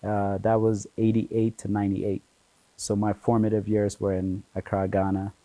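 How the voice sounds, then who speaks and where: A man in his forties from Ethiopia, a guest telling stories about his childhood in a relaxed, chatty way, with lots of "you know" and easy laughter. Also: lowish voice, normal speed, dry recording, low-quality recording. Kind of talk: relaxed conversational storytelling.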